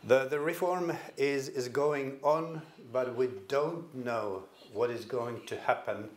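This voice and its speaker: An older man from Finland with a Finnish accent gives a conference presentation in a hall, speaking haltingly and repeating words as he restarts. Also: medium pitch. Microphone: stand microphone at a podium.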